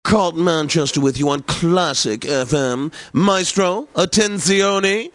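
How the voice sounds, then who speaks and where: A man's voice: a little high, slow, no echo. An actor playing a pompous, suave and lecherous male classical radio DJ in a comedic video game, announcing with theatrical relish. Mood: theatrical, smug. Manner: pompous, theatrical, savouring words.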